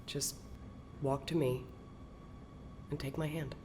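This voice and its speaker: Hushed, low-key female cult leader. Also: fairly even intonation. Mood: fervent. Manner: slow, hushed, beckoning, reverent. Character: calm, insistent female cult leader luring a recruit. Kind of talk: scripted audio drama acting. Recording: music under the voice.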